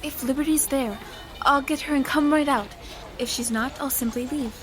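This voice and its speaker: An actress playing an anxious, principled young heroine in an audio drama, hesitant and cautious. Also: female voice, high-pitched, soft background music, close to an everyday voice. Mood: hesitant, reasoning. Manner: hesitant, halting.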